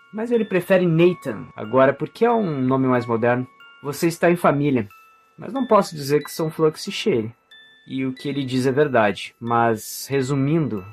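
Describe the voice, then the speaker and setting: An actor with a Brazilian accent playing an earnest, scornful hunter of necromancers in an audio drama, grave and explanatory. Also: male voice, mid-range voice.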